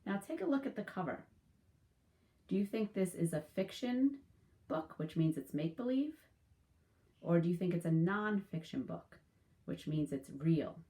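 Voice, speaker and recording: A woman reading a picture book aloud to children like a teacher, with deliberate, instructive phrasing. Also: lowish voice, animated, dark tone.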